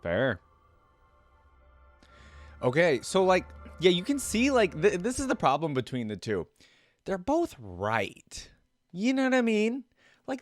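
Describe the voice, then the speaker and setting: A man's voice: medium pitch, no room sound, studio quality. A man hosting a reaction video, reading aloud and commenting casually.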